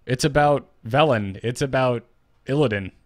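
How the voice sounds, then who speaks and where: A man's voice: mid-range voice, moderate pace, dry recording. Man arguing casually on a close, dry podcast. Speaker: podcast co-host. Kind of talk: casual gaming podcast discussion.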